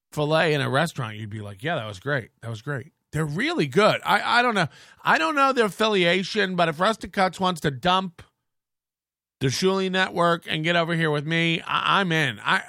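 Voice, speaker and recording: Man, podcast host, rambling crude chat, fairly high voice, bright tone. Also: no room sound, clean studio sound. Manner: crude, joking, meandering with frequent restarts.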